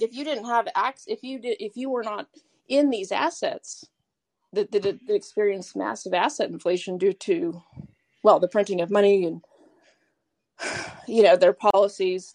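A woman's voice: medium pitch, a little mellow, no echo. Rambling woman podcast host. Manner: rambling, many restarts and fillers. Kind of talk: casual political chat.

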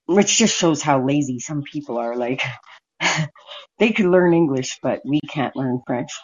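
A woman's voice: low-pitched, lively intonation, measured pace, husky, lo-fi sound. A woman of forty from Canada, hosting a stream and chatting candidly with a caller, laughing often. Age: 40s. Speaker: stream host. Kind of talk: casual chat with a caller.

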